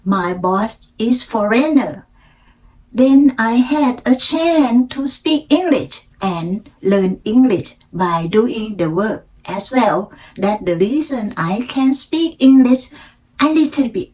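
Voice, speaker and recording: An older woman from Thailand with a Thai accent, a native Thai speaker, telling her personal story in halting, deliberate learner English. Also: fairly high pitch, expressive, a little mellow, rough recording. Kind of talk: unscripted personal storytelling practice to camera.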